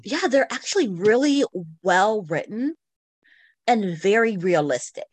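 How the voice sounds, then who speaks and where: A woman's voice: mid-range voice, very animated, a little slow, dry recording. A woman co-hosting a podcast in casual, giggly conversation. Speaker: podcast co-host. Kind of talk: casual chat about books and shows.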